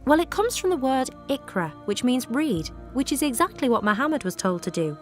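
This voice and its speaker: A woman's voice: high voice, very animated, brisk, faint music behind. A woman presenting a children's radio program, explaining things in a friendly way to young listeners.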